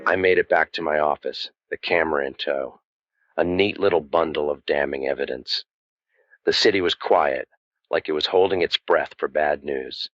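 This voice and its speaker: An actor playing a cynical, wisecracking private detective in a deadpan, sardonic 1940s radio noir style. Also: male voice, rumbling low, moderate pace. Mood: grim narration. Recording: dull lo-fi sound over music.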